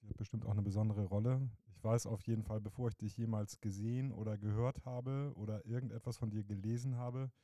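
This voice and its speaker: A man's voice: on the low side, steady tone, fairly quick, few pauses, dark tone, no room sound. A man interviewing a young writer, chatty and framing his questions at length. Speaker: interviewer, teacher.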